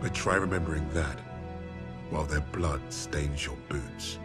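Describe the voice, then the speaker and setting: A man's voice: rumbling low, unhurried. A man playing a grave, seasoned Second World War squad leader, narrating dramatically in a game cutscene. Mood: somber. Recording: loud music behind the voice.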